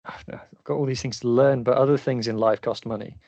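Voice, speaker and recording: A man's voice: mid-range voice, fairly even intonation, fairly quick, darkish tone, no echo, highs cut off. A man hosting a rugby podcast, asking his guest questions in a chatty, inquisitive, enthusiastic way. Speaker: podcast host. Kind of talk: casual podcast interview.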